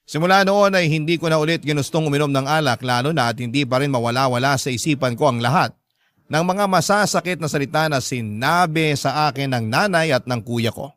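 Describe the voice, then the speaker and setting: Man narrating as a high-voiced, plaintive youngest son. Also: brisk, fairly bright tone, no echo, studio quality, close to an everyday voice. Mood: hurt, resentful. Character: aggrieved youngest son recounting his hard life. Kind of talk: first-person radio drama narration of a letter.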